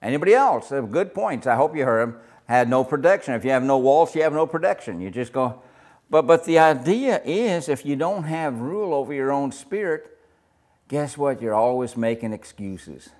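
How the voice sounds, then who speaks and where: An older man with a naturally loud voice, leading a Bible study group discussion in a casual, talkative way. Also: mid-range voice, moderate pace. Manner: loud, gets louder when excited.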